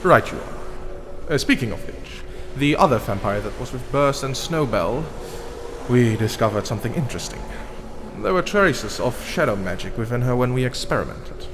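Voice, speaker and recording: A man's voice: medium pitch, lively intonation, moderate pace, close to an everyday voice. A man playing a disdainful, menacing vampire henchman in a fantasy audio drama, scornful and clinical. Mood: calculating. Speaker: voice actor. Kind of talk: scripted fantasy character dialogue. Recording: clearly noisy background hum.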